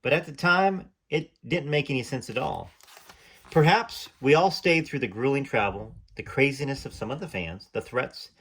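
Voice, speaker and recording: A man's voice: medium pitch, expressive, moderate pace, a bit bright, breathy edge. A man reading aloud from a memoir in an earnest tone, stopping now and then for chatty, hesitant asides. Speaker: channel host reading from a memoir.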